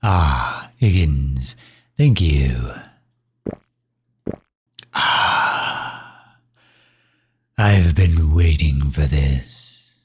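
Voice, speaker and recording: A man's voice: extremely low pitch, lively intonation, extremely slow, pauses often, a little hiss or hum, heavily acted. A man playing a pompous, suave megalomaniac villain in an ASMR audio roleplay, with leisurely, formal wording and impatience toward his servant. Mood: weary, contented.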